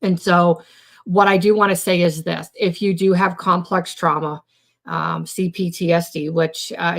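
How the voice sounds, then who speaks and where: A woman with a low, hoarse, gravelly voice hosts a casual live stream as a trauma coach. She rambles through her points, full of ums and restarts, sounding worn out from days of recording. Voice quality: raspy. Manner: rambling, many fillers and restarts. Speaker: trauma coach hosting a weekly live show. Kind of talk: informal live-stream teaching.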